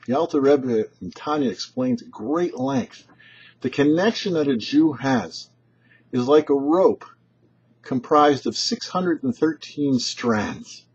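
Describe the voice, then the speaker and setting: Male rabbi, show host: markedly husky voice, animated pitch, didactic measured reading of religious commentary, slightly muffled lo-fi sound. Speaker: rabbi, host of a weekly Torah portion show. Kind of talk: short scripted religious commentary, read aloud. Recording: dull, lo-fi, muffled audio.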